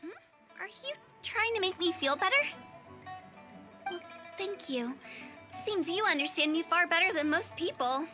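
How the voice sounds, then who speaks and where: Casting: eccentric young female undertaker, very high voice, theatrical teasing, anime game over music. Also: lively intonation, normal speed, long pauses. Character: playful, eccentric young female funeral parlor director.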